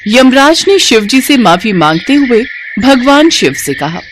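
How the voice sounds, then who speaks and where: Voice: medium pitch, sing-song, unhurried, a bit bright, a little hiss or hum. A narrator reading a scripted devotional myth story.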